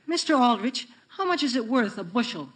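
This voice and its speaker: A man's voice: very high-pitched, big pitch swings, brisk, a bit bright, heavily acted. An actor playing a gloomy, dim, literal-minded American teenage boy with a plaintive, doubtful way of talking in a 1940s radio sitcom. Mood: puzzled, hopeful. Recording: noisy old radio recording.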